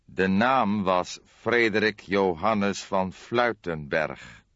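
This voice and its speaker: A man's voice: sits low, a bit bright, dry recording, highs cut off. A man voicing an old police detective in an audiobook, courteous and formal but insistent, with restrained impatience. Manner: deliberate, formal, spelling things out carefully.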